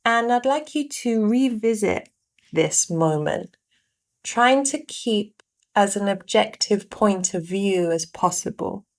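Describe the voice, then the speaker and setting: A woman guiding a meditation, giving gentle instructions in short phrases with pauses between them. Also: mid-range voice, lively intonation. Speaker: meditation guide. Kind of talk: guided meditation narration.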